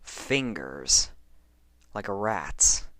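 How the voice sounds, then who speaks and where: A man reading a horror story as a dramatic narrator, phrasing deliberately with pauses for effect. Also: lowish voice, big pitch swings, bright, forceful tone, no room sound. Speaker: fan fiction narrator.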